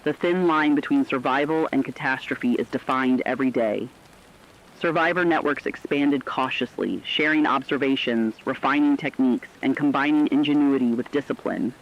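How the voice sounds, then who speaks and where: Serious male narrator, muffled lo-fi sound. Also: medium pitch. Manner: serious, deliberate delivery. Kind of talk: dramatic scripted sci-fi narration.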